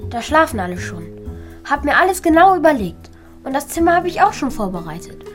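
Earnest schoolkid lead for children's radio play, high voice, thoughtful and kind-hearted. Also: female voice, very animated, brisk, fairly bright tone, soft background music. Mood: secretive, determined. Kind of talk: German children's radio play acting and narration.

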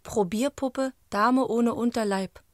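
An actress as a detached, wry chorus narrator in a radio drama, giving terse, clipped commentary. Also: female voice, on the high side, slow, dry recording, studio quality. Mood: observing.

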